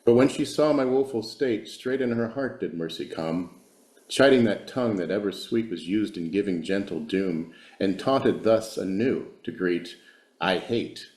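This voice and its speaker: A man introduces himself, then reads a sonnet slowly and deliberately. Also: on the low side, expressive, darkish tone. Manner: slow, deliberate reading. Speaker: poetry reader. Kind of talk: sonnet recitation. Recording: roomy echo.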